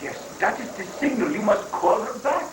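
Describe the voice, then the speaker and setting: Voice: mid-range voice, big pitch swings, normal speed, natural voice. A performer playing a pompous, fatherly guru who hands out absurd advice in mock-scriptural style, in a dramatic reading of a satirical play. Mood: glib, advising. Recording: echoey room, far from mic, noisy.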